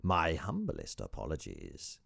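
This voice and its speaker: A man voicing a mischievous, falsely modest young hermit sage in an audiobook, with a theatrical, gleeful sales-pitch manner. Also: very animated, measured pace, darkish tone, dry recording. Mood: mock apologetic.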